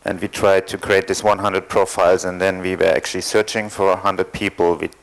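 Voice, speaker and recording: A man from East Germany, speaking English, telling his story as a panel guest with many pauses and fillers. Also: low voice, level tone, gravelly, low-quality recording. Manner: hesitant, thoughtful, many fillers. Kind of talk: panel discussion, personal account.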